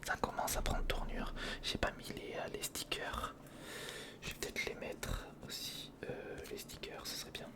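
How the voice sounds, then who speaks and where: A man whispering informal ASMR commentary close to the microphone, murmuring step by step to himself and the viewer as he works. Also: normal speed. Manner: murmured, informal running self-talk addressed to the viewer. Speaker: ASMR video creator. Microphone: close recorder microphone.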